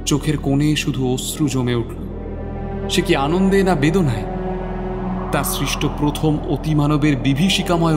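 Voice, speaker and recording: A man narrating a scripted story as a dramatic narrator. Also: on the high side.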